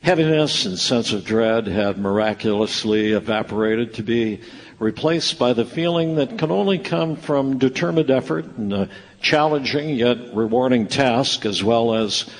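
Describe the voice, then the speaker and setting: A man narrating a scripted radio play as a storyteller, in measured phrases broken by pauses. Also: lowish voice. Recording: echoey hall, live stage performance.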